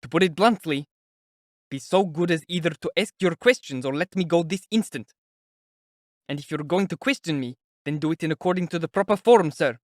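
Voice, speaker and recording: A man voicing a resentful young visitor in an audiobook, speaking resolutely and irritably, close to shouting. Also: on the high side, expressive, a little fast, hardly any pauses, dry recording, pitched above the performer's own voice. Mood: exasperated, defiant. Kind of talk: audiobook character acting.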